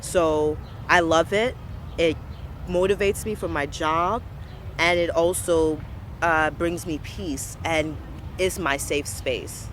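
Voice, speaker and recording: A woman's voice: low voice, fairly even intonation, slow pace. A young woman from Brooklyn, speaking earnestly and passionately about her community in a personal interview, repeating words for emphasis. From Brooklyn, New York, USA. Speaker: interviewee, local business owner. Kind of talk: personal reflective testimony. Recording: street recording with traffic noise.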